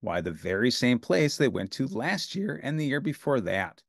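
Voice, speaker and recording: A man narrating a children's storybook as an animated read-aloud. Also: medium pitch, normal speed, creaky, no room sound, studio quality.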